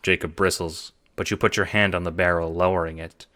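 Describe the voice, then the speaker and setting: A man's voice: deep voice, level tone, a little fast, pushed and bright. A man narrating scripted second-person fiction for an audio drama.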